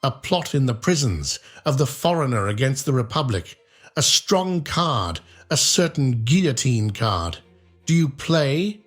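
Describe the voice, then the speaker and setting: A man voicing a sharp, sardonic English gentleman, deliberate and cutting. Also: medium pitch, big pitch swings, soft background music, pitched above the performer's own voice. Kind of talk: audiobook character reading.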